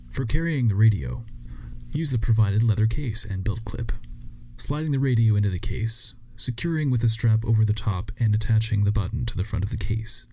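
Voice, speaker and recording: A man reading a scripted instructional narration in a measured, step-by-step way as a training video narrator. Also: mid-range voice, a little mellow.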